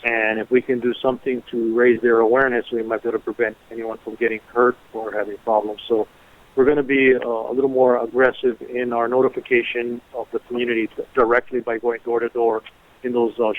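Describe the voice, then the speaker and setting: A man speaking as an emergency management official, giving a measured, deliberate press briefing over a conference call. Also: medium pitch, little pitch movement. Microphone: telephone. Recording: muffled narrow-band conference phone line.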